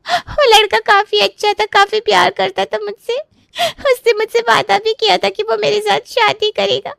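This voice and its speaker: A woman's voice: very high voice, vocal fry, a bit bright, dry recording, exaggerated voice. A woman voicing a naive young woman in her twenties who cries and pleads as she confesses in a family drama. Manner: pleading, apologetic, wailing. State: crying.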